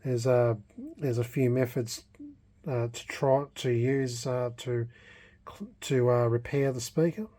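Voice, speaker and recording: A man with a mellow, relaxed voice at a middling pitch talks through a hobby project in an unscripted vlog. He speaks haltingly and casually, feeling his way through each thought aloud. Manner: hesitant, halting, thinking aloud. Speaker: hobbyist vlogger restoring a vintage radio.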